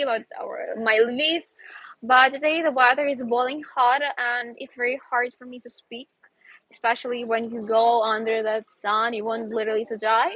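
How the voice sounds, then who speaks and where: A young Ukrainian woman speaks English in a high, bright voice as she hosts an outdoor live stream. She sounds chatty and upbeat and sometimes stops to search for the right word. The sound is dry and close. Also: no echo, rough recording. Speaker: host, English teacher. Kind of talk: casual live stream chat. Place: outdoors in a city square.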